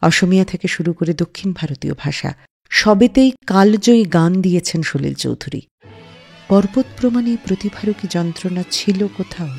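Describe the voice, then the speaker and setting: A woman presents a radio music show. Her mid-range voice moves through scripted narration with measured, expressive storytelling. Music plays underneath as she speaks. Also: mid-range voice, gravelly, husky. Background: music playing under the voice.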